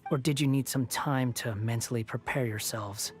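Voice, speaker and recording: A man's voice: medium pitch, moderate pace, lightly acted. An actor playing a snarky, aloof young male wanderer in a game, speaking with cool, condescending, backhanded politeness. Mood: smug. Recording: game audio under music.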